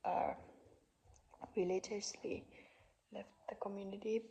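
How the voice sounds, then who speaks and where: Slow, gravelly Finnish-accented young woman. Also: mid-range voice, little pitch movement, slight background noise, rough recording. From Finland. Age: 20s. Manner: soft-spoken, halting, frequent fillers. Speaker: vlogger. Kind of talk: unscripted casual monologue. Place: parents' living room couch.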